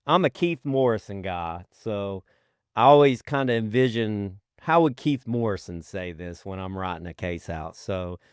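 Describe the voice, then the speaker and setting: A man's voice: fairly low pitch, animated, unhurried, smooth, dry recording, studio quality. A man co-hosting a podcast, talking casually in an informal, earnest way. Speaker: podcast co-host. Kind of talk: casual chat.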